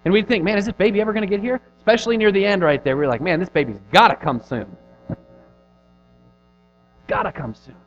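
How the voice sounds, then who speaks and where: A man in his thirties preaches in an earnest, conversational style, his voice sitting a little high for a man. He presses hard on some phrases and drops low and quiet on others. The recording is dull and lo-fi, with soft music underneath. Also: a little fast, pauses often. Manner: earnest, conversational preaching with rising and falling emphasis. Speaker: pastor. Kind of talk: sermon.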